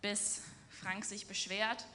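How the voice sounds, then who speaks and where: A woman's voice: mid-range voice, level tone, slow, sharp tone, a little hiss or hum. A young adult woman preaching in an earnest, explanatory way and illustrating her points with everyday examples. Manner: earnest, explanatory, acts out quoted speech. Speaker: preacher in a church. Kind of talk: sermon with personal anecdote.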